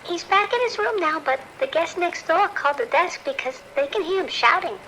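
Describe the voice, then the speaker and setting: A woman's voice: extremely high pitch, lively intonation, normal speed, pushed and bright, slight background noise, heavily acted, rough recording. A woman playing a worried, kind-hearted hotel worker in an audio drama, hesitant and familiar in her pleading. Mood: worried, sympathetic. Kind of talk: naturalistic audio drama acting.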